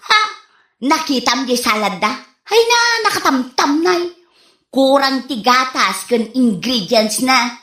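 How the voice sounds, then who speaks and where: A woman's voice: high-pitched, lively intonation, takes it slowly, a bit bright, full character voice. A woman playing a fault-finding, gossipy neighborhood mother in an Ilocano comedy radio drama, with an Ilocano accent. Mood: indignant griping. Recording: roomy echoey sound.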